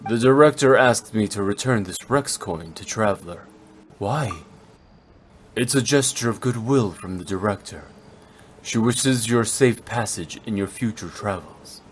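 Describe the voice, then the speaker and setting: An actor playing a calm, formal ancient man who speaks in a measured, composed way. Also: on the low side, a little hiss or hum, natural voice.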